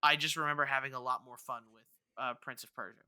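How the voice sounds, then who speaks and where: A man's voice: medium pitch, steady tone, quick pace, sharp tone, smooth, dry recording, clean studio sound. A man co-hosting a podcast in casual debate, hesitant and thinking aloud as he talks. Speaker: podcast co-host.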